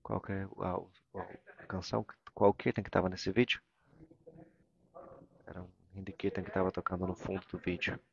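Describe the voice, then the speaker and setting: A man with a Brazilian Portuguese accent gives a live interpretation of a morning religious talk, halting and repeating phrases as he searches for words. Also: deep voice, flat delivery, vocal fry, dull audio. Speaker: interpreter of a spiritual discourse.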